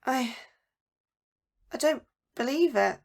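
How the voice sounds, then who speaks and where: A woman's voice: sits high, expressive, slow pace, creaky, heavily acted. An actress playing an anxious, frightened female scientist in an audio drama, speaking hesitantly with stammers. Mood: bewildered, wary. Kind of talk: emotional audio drama acting.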